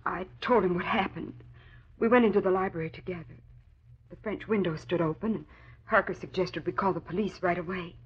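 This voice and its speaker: An actress playing a nervous, defensive young society woman who speaks haltingly and dramatically in an old radio drama. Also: on the low side, fairly even intonation, breathy edge. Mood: shaken. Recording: dull, lo-fi old radio recording.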